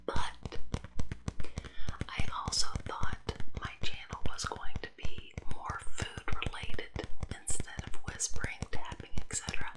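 A woman in her forties speaks in a breathy, unvoiced ASMR whisper, very close to a tabletop mic. Her delivery is slow and gentle, broken into short, halting phrases. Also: low-quality recording. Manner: soft, unhurried, halting phrases. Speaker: ASMR video creator. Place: small room indoors with a wood-panel backdrop. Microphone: close tabletop microphone.

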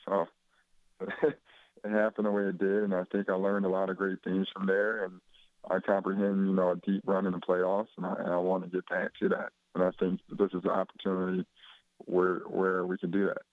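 Low flat-voiced young man on phone. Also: a little fast, no room sound. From Chicago, USA. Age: 20s. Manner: laid-back, rambling with many fillers. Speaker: basketball player guest. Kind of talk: casual phone interview. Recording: dull phone line.

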